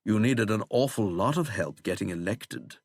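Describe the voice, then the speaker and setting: A man's voice: lowish voice, lively intonation, normal speed, dry recording. A man voicing a suave, menacing English wizard in an audiobook, with a plummy accent and a languid, mocking delivery. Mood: quietly threatening. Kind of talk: audiobook character acting.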